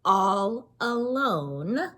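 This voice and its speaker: A woman with a husky voice reading a children's story aloud expressively, pausing to explain and ask questions. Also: medium pitch. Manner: expressive, explains and asks questions to young listeners. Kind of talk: animated read-aloud of a children's story with asides.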